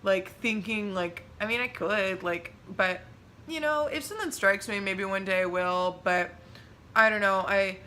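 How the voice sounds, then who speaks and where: Young woman vlogger, bright voice, rambling casual chat, faint background hiss. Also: measured pace. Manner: chatty, rambling, lots of "like" and "um"; laughs easily, pitch jumps when excited.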